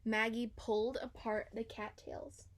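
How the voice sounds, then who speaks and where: A woman's voice: on the high side, level tone, a little slow. A woman narrating a children's story aloud, expressively and with dramatic emphasis. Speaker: storybook reader.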